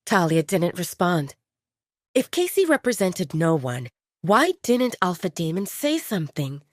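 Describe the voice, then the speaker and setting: A woman's voice: lowish voice, sing-song, a little slow, no echo, clean studio sound. A woman reading scripted, dramatic narration for an audio drama.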